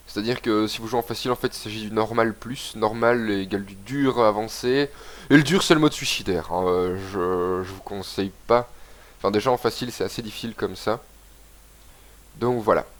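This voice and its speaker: A young man with a head cold talks through a game session, his voice a bit low and stuffy. He rambles casually and reads the on-screen text aloud as he plays. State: has a cold, congested. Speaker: gaming video host. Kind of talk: casual let's-play commentary.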